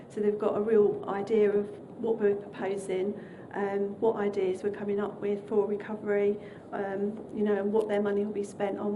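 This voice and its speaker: A woman's voice: mid-range voice, background noise. A woman giving a progress update on a business bid at a council meeting, hesitant and full of fillers. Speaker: task group presenter on a business bid.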